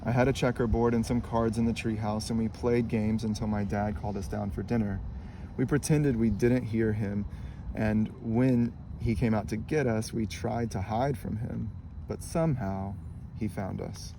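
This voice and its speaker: A man reading a children's picture book aloud in a calm, even storytelling manner. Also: lowish voice, moderate pace, noisy background. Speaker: storybook reader.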